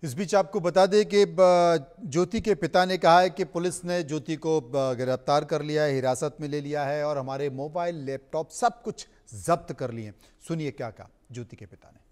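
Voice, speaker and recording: A man's voice: on the high side, normal speed, hardly any pauses, darkish tone. A man anchoring live television news in an emphatic manner, repeating phrases for effect.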